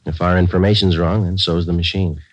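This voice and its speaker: A man's voice: low voice, flat delivery, brisk. An American man playing a laconic, businesslike detective sergeant in a 1940s radio crime drama, speaking in clipped, matter-of-fact lines. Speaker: narrator and lead actor. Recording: dull vintage radio recording with music.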